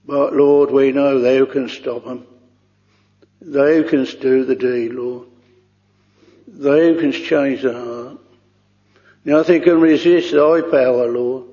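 A man leading a solemn extempore prayer in church, pleading slowly in old-fashioned thee and thou. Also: mid-range voice, fairly even intonation, dark tone. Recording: lo-fi recording with long reverb in a large hall.

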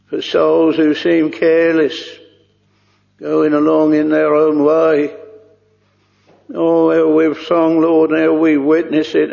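Man praying slowly in a gravelly voice. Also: a little high. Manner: slow, solemn, pleading with archaic thee and thou. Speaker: minister leading prayer. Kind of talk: extempore congregational prayer. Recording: lo-fi recording with long reverb in a large hall.

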